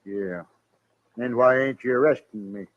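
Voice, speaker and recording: A man's voice: medium pitch, measured pace, natural voice. An American man acting a proud, stubborn frontier cowman in a radio Western, wry and guarded. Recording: muffled, noisy old radio recording.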